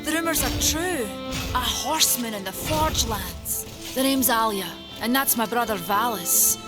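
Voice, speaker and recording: A woman's voice: fairly high pitch, lively intonation, unhurried. A woman playing a proud, sturdy forge keeper of an ancient fantasy race in a video game, frank and earnest. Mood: proud, wry. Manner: frank, earnest, archaic turns of phrase. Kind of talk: dramatic fantasy game acting. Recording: loud music behind the voice.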